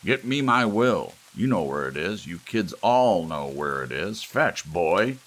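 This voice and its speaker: A man's voice: sits low, sing-song, unhurried, fairly bright tone, voice pushed up, heavily acted. A man voicing a domineering, self-important ancient grandfather in an audiobook, with a barking, portentous delivery. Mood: barking orders. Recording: rain and hiss behind.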